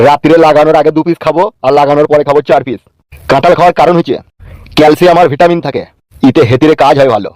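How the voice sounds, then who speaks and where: A man's voice: on the high side, rapid-fire, a little mellow, dry recording, natural voice, poor audio. A man voicing a cheeky, brazen village husband in a comedy cartoon, teasing and crude. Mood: teasing, playful.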